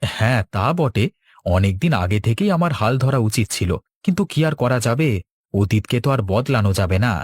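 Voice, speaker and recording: A man voicing a determined, patient young farmer in a cartoon, earnest and steady. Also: mid-range voice, fairly quick, no room sound, studio quality, natural voice. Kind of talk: cartoon dialogue.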